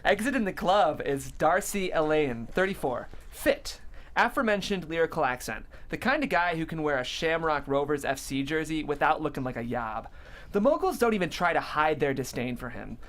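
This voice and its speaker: A woman's voice: deep voice, expressive, moderate pace. A woman reading scripted stage directions as narrator at a comedy table read.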